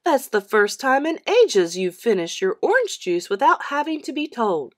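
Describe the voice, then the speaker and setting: A woman voicing a patient, gently firm mother in a storybook, emphatic and coaxing. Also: a little high, very animated, normal speed, pitched above the performer's own voice. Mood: pleased.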